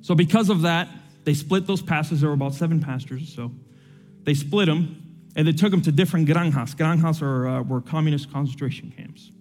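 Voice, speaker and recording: Young man preacher, earnest, emotional, conversational; slightly higher voice. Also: a little fast, a little mellow, big-room sound, faint music behind. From Cuba. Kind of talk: sermon with personal testimony.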